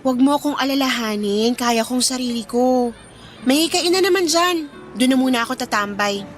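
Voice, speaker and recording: A woman acting a sensible, caring young fast-food worker and girlfriend, gentle and reasoning in radio drama dialogue. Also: high-pitched, slight background noise, natural voice. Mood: reassuring.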